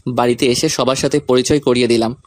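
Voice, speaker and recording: Man, mid-pitched voice, scripted first-person storytelling. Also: fairly even intonation, fast, a little mellow, no echo. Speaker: story narrator and lead character.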